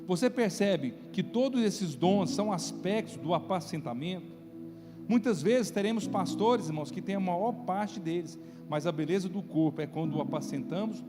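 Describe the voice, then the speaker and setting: High-pitched Brazilian male pastor preaching. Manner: conversational, checks in with listeners through rhetorical questions. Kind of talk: sermon preached to a congregation. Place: church, live-streamed service. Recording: reverberant hall, loud music underneath. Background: loud music.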